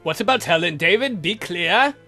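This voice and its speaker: A woman voicing a stern male count in a visual novel, measured, imperious and coolly probing. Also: on the low side, animated, bright, forceful tone, soft background music, pitched below the performer's own voice. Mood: commanding. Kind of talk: visual novel read aloud in character.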